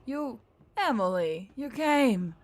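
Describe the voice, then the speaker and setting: A woman's voice: on the high side, expressive, slow pace, voice pushed up. Woman playing a shaken, tender young woman. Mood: awed.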